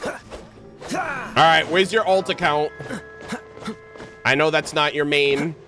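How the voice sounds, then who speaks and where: A man's voice: fairly high pitch, fairly even intonation, slow pace, over music. A man live streaming a game, chatting informally and jokingly with his viewers in slangy language. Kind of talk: casual game streaming chat.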